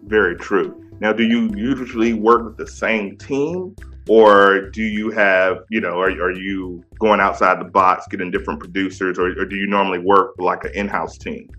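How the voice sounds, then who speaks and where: A man in his thirties with a deep voice, hosting a casual music interview and praising his guest effusively. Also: fairly even intonation, moderate pace, pushed and bright, dull audio.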